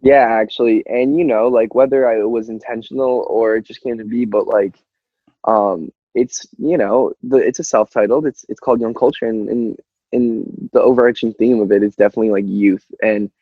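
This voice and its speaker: A man's voice: medium pitch, fairly even intonation, moderate pace, breathy edge, dry recording. A young man chatting casually as a musician in an interview, rambling informally with lots of "like", on a slightly muffled recording. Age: young adult.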